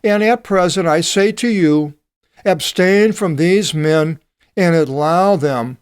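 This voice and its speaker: Man, Bible study teacher, slow unhurried delivery, smooth clear voice, reads verses then comments. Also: fairly high pitch, no echo, clean studio sound. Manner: reads passages aloud and comments on them; slow and deliberate with frequent "uh" pauses.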